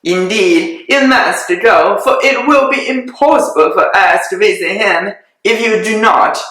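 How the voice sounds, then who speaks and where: A reader voicing a fussy, excitable middle-aged mother who pleads and frets, in a dramatic reading. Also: mid-range voice, measured pace, lightly acted. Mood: urging. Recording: very echoey room.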